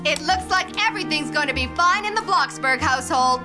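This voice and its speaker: A woman's voice: high-pitched, expressive, brisk. A woman voicing a perky, nosy reporter friend in a cartoon, breezy and exclamatory. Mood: amused. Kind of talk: cartoon dub voice acting. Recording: music under the voice.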